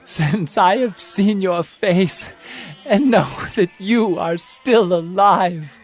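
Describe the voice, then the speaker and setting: A man's voice: sits high, slow pace, faint music behind. A frail old patriarch voiced by a man speaks in a thin, raised voice and draws out every word. It feels trembling and heartfelt, as in a dramatized scripture recording. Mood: tender, overwhelmed. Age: older.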